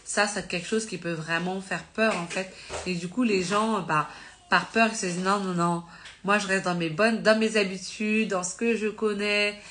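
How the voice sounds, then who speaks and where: A woman's voice: medium pitch, steady tone, normal speed, a bit bright, slight background noise. A woman with a slight cold, teaching about faith on a live stream in an informal, conversational way, full of fillers and self-corrections. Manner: earnest and encouraging.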